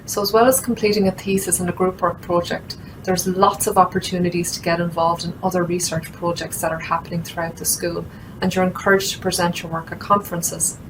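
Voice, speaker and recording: A woman's voice: lowish voice, flat delivery, fairly quick, background noise. A young woman, talking steadily and earnestly about her studies into a laptop webcam at home. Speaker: master's student. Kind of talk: prepared testimonial talk. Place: room at home with shelves.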